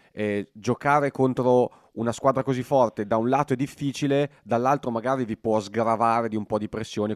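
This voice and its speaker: A man's voice: lowish voice, a little mellow, no room sound, studio quality. A man hosting a sports interview on the radio, introducing and questioning his guest.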